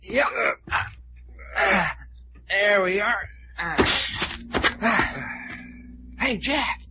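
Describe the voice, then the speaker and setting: An actor playing a folksy, wisecracking Southern US sidekick with a colloquial drawl, in an old-time radio serial. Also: male voice, high voice, animated, very slow, full character voice. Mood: eager, searching. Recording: dull, noisy old radio recording.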